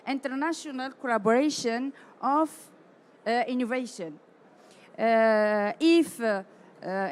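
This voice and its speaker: A woman from Algeria giving a prepared conference talk, halting with many fillers and restarts. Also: high voice. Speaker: panel speaker.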